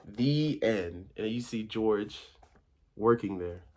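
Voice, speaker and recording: A man's voice: lowish voice, lively intonation, slow, a little mellow. A man reading a children's story aloud as a volunteer, informal and occasionally stumbling over his words.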